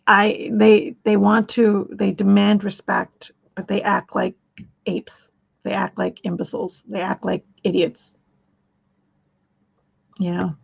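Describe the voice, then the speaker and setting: Gravelly, husky woman rambling live. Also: medium pitch, level tone, takes it slowly, long pauses, highs cut off. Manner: rambling, repeats words and restarts sentences. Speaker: livestream host. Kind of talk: rambling live-stream monologue.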